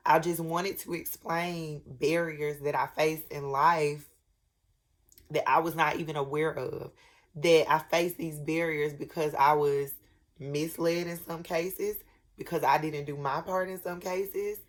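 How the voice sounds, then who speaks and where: A woman with a cold and a raspy voice, speaking earnestly to camera in a personal vlog, with many pauses and restarts. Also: deep voice, fairly even intonation. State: sick with a head cold. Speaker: vlogger. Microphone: camera at desk, glancing at notes.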